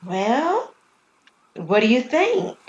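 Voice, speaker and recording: A woman's voice: fairly high pitch, sing-song, drawn-out words, darkish tone, echoey room, lo-fi sound, pitched above the performer's own voice, full character voice. A woman voicing an eager, earnest young poet in an audio drama.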